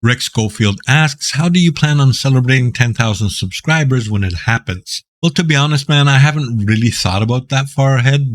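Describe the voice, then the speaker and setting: A man from Greece with a deep, raspy voice, a gaming YouTuber casually reading out and answering viewer questions with jokes and rambling asides. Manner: laid-back, joking, rambling.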